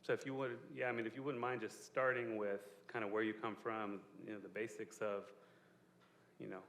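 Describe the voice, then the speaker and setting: Male event host on a handheld mic, low-pitched, conversational, reverberant hall. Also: level tone, brisk, a bit bright, slight background noise. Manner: conversational, a bit apologetic. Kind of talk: live on-stage interview. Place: event space with a small audience. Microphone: handheld microphone. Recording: roomy live venue.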